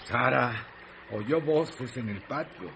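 A man's voice: medium pitch, takes it slowly, creaky, a little hiss or hum. A man narrating a radio drama as a dramatic storyteller.

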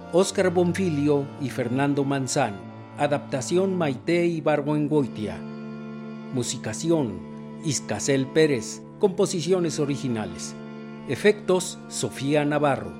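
A man's voice: on the high side, takes it slowly, music in the background. Male announcer reading credits. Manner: formal, even announcing.

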